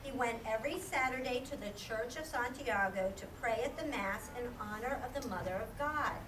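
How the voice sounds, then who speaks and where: A woman's voice: on the high side, normal speed. A woman narrating a scripted story for a children's puppet show. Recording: echoey room, distant mic, background noise.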